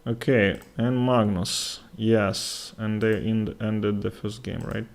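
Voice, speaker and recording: Man, Russian accent, chess commentary, halting, unhurried, creaky voice. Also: lowish voice, fairly even intonation, slight background noise. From Latvia. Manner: halting, with many fillers and pauses. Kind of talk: live chess commentary with chat.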